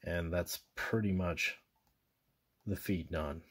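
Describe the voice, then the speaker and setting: Man with a very low voice, relaxed toy-review host walking through steps at a tabletop, off-camera mic. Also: takes it slowly, breathy edge. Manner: casual, talks viewers through steps with fillers and self-corrections. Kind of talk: casual hands-on toy demonstration. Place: indoors at a table with a posterboard backdrop.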